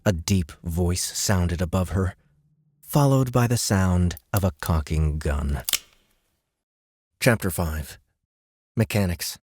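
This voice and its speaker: A man's voice: deep voice, slow pace, pauses often, darkish tone, slight background noise. A man reading dramatic scripted narration as an audiobook narrator.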